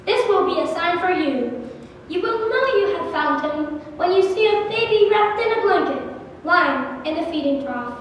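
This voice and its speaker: High-voiced angel messenger played by a woman in echoey hall. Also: lively intonation, normal speed. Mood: reassuring, proclaiming. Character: angel messenger announcing joyful news to frightened shepherds. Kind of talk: church scripture dramatization, scripted reading. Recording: echoey church hall, distant, faint noise.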